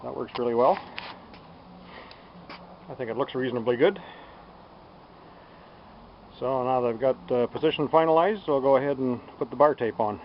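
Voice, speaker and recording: Unhurried man, dull lo-fi recording. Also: medium pitch. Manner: unhurried, halting, thinking aloud. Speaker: DIY bicycle tinkerer presenting a build. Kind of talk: casual unscripted workshop demonstration. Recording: dull lo-fi sound with background noise.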